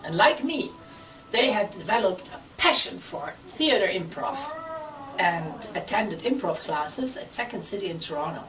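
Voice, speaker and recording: A woman giving a chatty, playful presentation in an animated way. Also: medium pitch, normal speed, recorded from a distance, music in the background, low-quality recording. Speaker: theatre group member presenting its history.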